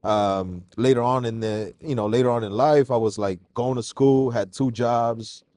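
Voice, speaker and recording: A man from Mexico, a guest on a casual podcast interview, telling his story in a relaxed, slangy way. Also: medium pitch, normal speed, no echo, clean studio sound. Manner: relaxed, slangy, calls host "bro".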